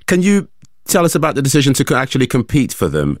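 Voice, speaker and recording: A man hosting a radio interview, asking measured, clear questions into a studio microphone. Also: medium pitch, wildly swooping pitch, no room sound. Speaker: radio host.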